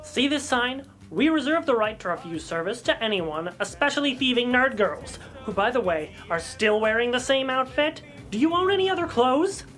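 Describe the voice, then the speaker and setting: A woman's voice: a little high, expressive, normal speed, hardly any pauses, a bit bright, soft background music. A woman playing a pompous, pedantic male collectibles seller who is grudging and indignant, in a comedic audio drama. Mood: haughty, defensive.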